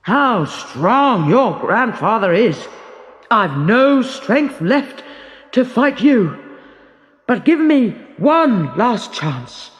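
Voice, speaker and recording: Storybook lake giant, acted by a narrator: fearsome but blustering, slow, theatrical exclamations. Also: medium pitch, sing-song, in a large hall, muffled recording, pitched above the performer's own voice. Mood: beaten, pleading. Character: fearsome green-bearded lake giant, male, blustering and pleading. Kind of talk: children's storybook character reading.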